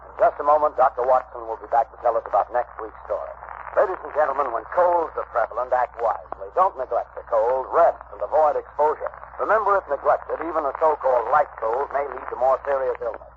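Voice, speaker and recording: A man announcing a scripted commercial in formal, measured 1940s radio style. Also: mid-range voice, lively intonation. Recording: tinny, faintly noisy old radio recording.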